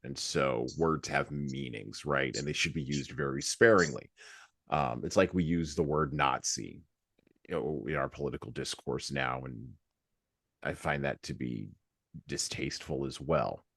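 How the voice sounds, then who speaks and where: A man with an extremely low voice hosts a book discussion podcast. He speaks deliberately and haltingly, leaning on key words. The sound is dry, with little room in it. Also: very animated, gravelly, fairly bright tone, slightly rough, no echo. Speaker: podcast host.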